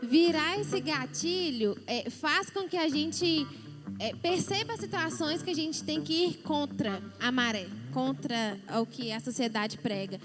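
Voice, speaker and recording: High-voiced chatty woman panelist. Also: unhurried. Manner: chatty, loses her train of thought. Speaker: panelist at church youth service. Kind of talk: conversational church panel talk. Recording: music playing underneath.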